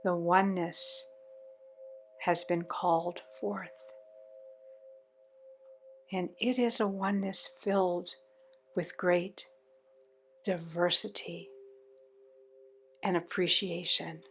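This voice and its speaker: A woman leading a guided meditation in measured, short phrases with pauses between them. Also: on the low side, fairly even intonation, faint music behind.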